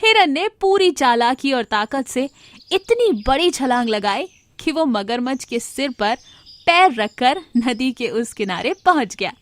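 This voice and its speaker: A female storyteller narrates a scripted moral tale for children. Her voice is somewhat high for a woman. The delivery is read-aloud storytelling. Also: big pitch swings, a little slow.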